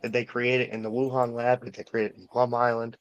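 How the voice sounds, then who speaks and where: A man's voice: mid-range voice, little pitch movement, a little fast, dry recording, highs cut off. Male co-host for casual conspiracy chat, insistent, rambling and foul-mouthed. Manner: profane, insistent, repeats himself. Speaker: talk show co-host.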